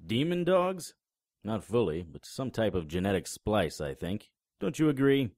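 A man's voice: on the low side, big pitch swings, unhurried, a little mellow. A man acting a wry, tough private investigator with sardonic delivery in an audio drama. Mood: wry, focused.

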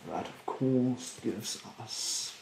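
A young man playing a rude, snobbish facial therapist in a soft-spoken, often whispered ASMR roleplay, with a haughty, halting delivery. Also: mid-range voice, vocal fry, echoey room, noisy background. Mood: haughty, fussy. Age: young adult. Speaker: ASMR performer. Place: small bedroom, indoors. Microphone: close to the microphone.

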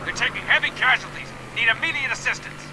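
An actor playing a harried, commanding military colonel giving brisk orders in a video game. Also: male voice, very high voice, bright, forceful tone, a little hiss or hum, heavily acted, low-quality recording. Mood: brisk, urgent. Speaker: commanding officer.

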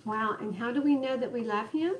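A woman's voice: on the high side, fairly quick, muffled recording, a little hiss or hum. A woman leading a home Bible study, stressing words and joking with the group, recorded by a camera in a living room. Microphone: far camera in the room.

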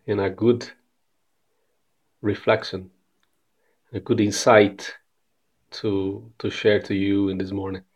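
A man in his thirties from Brazil, with a Brazilian Portuguese accent, sharing a short devotional message in careful non-native English, often repeating words, recorded on a phone at arm's length in a bright room. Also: on the low side, breathy edge, highs cut off. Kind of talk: casual devotional message to camera. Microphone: phone camera at arm's length.

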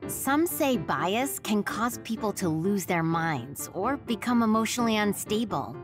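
Actress as caring young female anime game operator, friendly, lively pitch, informal, music behind. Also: a little slow, close to an everyday voice. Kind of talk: English anime-game voice acting.

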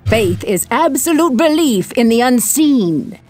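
Slow, cryptic witch. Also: on the high side, sing-song, a little mellow, soft background music. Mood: cryptic, knowing. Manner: measured, mysterious, portentous. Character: cryptic, knowing witch seer. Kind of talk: fantasy game acting.

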